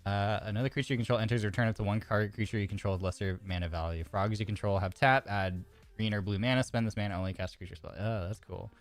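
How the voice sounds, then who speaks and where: A man's voice: fairly low pitch, fairly even intonation, fast, faint music behind. A man streaming a card game, chatting casually and reading card text aloud, a little congested with a sore throat. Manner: chatty, reads card text aloud, frequent restarts and fillers.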